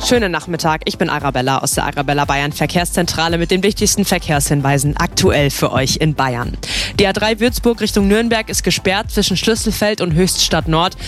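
A high-voiced man gives traffic updates on the radio. He addresses listeners informally, with faint music behind him.

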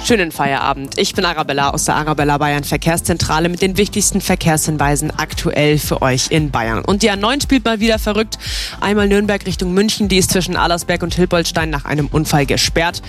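A man's voice: a little high, a little fast, fairly bright tone. A man giving a conversational traffic report as a radio presenter. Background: music bed.

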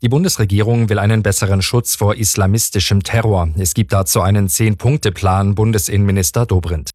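Low, dry-voiced male newsreader. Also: no echo. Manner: measured, even newsreader delivery. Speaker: news anchor. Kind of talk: read news bulletin.

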